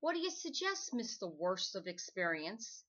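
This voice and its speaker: Frazzled, wry woman writer, animated. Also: medium pitch, normal speed, muffled recording. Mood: exasperated, wry. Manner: wry, exasperated. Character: overworked, frazzled, wry woman writer on deadline, chain-smoker. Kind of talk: old-time radio drama acting.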